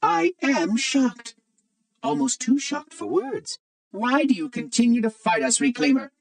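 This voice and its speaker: A man's voice: extremely high pitch, lively intonation, unhurried, creaky, dry recording, far from the mic, heavily acted. A man playing a prim, fussy, ancient robot monitor in a video game, speaking in a formal, precise, oddly cheery way with light robotic processing. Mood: indignant. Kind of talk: video game character acting.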